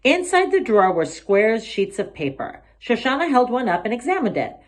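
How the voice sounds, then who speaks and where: A woman reading a children's picture book aloud as an expressive storyteller. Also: a little high, normal speed. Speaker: storybook reader.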